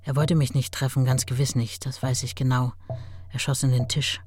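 A woman's voice: rumbling low, level tone, moderate pace, music in the background. An actress playing a worn-out, candid ex-wife who gives her recollections in a radio drama. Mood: sober, candid.

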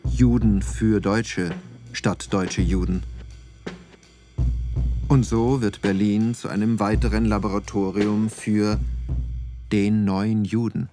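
A man performing as the sober narrator of a documentary radio play, reading in a measured, factual way over music. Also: lowish voice, steady tone, mellow. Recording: studio voice over music bed. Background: clear music.

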